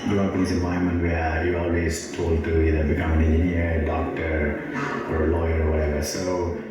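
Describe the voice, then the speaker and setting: A man who founded a record label explains things in a deliberate manner during an interview. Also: low voice, level tone, heavy reverb, recorded from a distance, music in the background.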